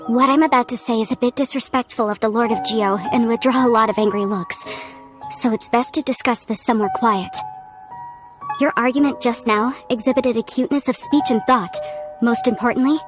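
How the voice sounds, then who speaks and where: A woman's voice: a little high, brisk, natural voice. A woman playing an earnest scholar of archaeology in a video game, speaking courteously and carefully. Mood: polite, earnest. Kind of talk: scripted video game dialogue. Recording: music under the voice.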